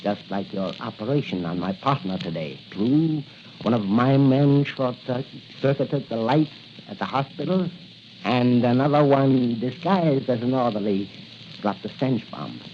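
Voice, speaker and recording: A man's voice: medium pitch, measured pace, close to an everyday voice. A man playing a cold-blooded crooked financier, menacing and mock-polite in 1940s radio acting style. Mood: cold, gloating. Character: smooth-talking, cold-blooded male crooked financier and murderer. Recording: crackly, muffled 1940 radio recording.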